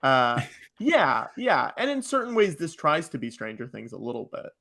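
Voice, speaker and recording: A man speaks in an average-pitched voice in a casual podcast chat. He hedges his opinions and tends to repeat his phrases as he talks. The delivery is informal and conversational. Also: big pitch swings, moderate pace, vocal fry, bright, forceful tone. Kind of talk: casual chat about TV and film.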